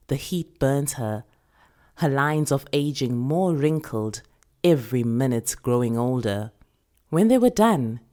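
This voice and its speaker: Very low-pitched South African woman narrator. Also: a little mellow. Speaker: actor reading a short story. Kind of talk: scripted literary narration.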